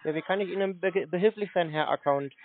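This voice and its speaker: Male, blunt mocking phone talker, phone-like sound with clear noise. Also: sits high, fast. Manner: sarcastic, scolding, blunt. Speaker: scam baiter.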